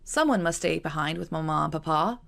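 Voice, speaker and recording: A woman voicing a sensible, gently firm young Regency gentlewoman in an audiobook. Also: deep voice, animated, a little fast, gravelly, full character voice. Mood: explaining. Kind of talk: audiobook character dialogue.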